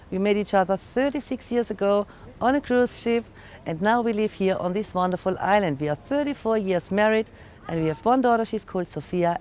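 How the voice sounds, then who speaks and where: A woman's voice: mid-range voice, darkish tone, a little hiss or hum. German-accented woman guiding a tour outdoors. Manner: friendly explaining, non-native grammar. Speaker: tour guide. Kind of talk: guided tour talk. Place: outdoors on a hilltop.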